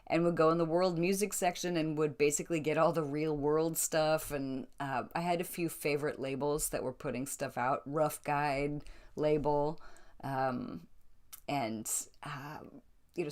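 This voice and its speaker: A woman with an American accent chatting casually to an online audience, telling stories in an animated way and acting out the remarks she quotes. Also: deep voice. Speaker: belly dancer telling stories of her career.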